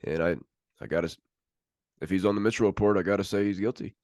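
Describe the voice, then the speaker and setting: A man's voice: sits low, fairly even intonation, quick pace, darkish tone, dry recording, studio quality. A man co-hosting a sports podcast, talking in a casual, conversational way.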